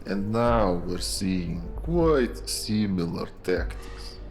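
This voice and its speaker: A man performs a grim police detective from a noir thriller, speaking very slowly in a lowish voice. He is sly and theatrical, letting insinuations hang. Clear music plays behind him. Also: expressive, exaggerated voice. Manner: slow, deliberate, sly, theatrical. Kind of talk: noir audio drama acting.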